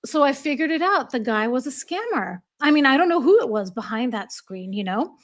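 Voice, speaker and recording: An American woman hosting a casual chat, telling stories quickly and laughing often. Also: high-pitched, very animated. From United States. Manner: chatty, quick storytelling with frequent laughs. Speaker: host.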